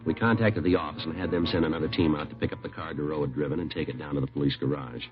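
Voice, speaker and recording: An actor playing a no-nonsense, deadpan police detective in a 1950s radio crime drama, speaking in clipped, matter-of-fact lines. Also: male voice, a bass voice, very fast, music in the background. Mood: dry, pressing. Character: no-nonsense male police detective, clipped, unemotional, dryly sardonic. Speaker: narrator and lead detective. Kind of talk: 1950s radio police drama acting, terse report-like narration. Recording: muffled old 1950s radio recording.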